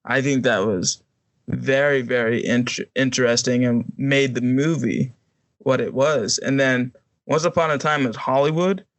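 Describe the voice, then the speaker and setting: A man's voice: medium pitch, steady tone, measured pace, no room sound. A man co-hosting a podcast, giving opinions in casual conversation. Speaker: podcast co-host.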